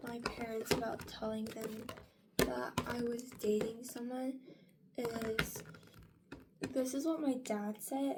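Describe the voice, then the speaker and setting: A woman's voice: a little high, gravelly, noisy background. A young woman in her late teens chatting casually in a get-ready-with-me vlog, rambling with many fillers and restarts. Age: young adult. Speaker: vlogger.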